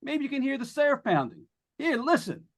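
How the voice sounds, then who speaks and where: Man acting a high-pitched, animated radio showman. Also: normal speed, heavy background noise, exaggerated voice. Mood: showy, upbeat. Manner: chatty, folksy showman delivery. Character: breezy, joking male radio host hunting ghosts. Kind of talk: 1940s live radio drama acting.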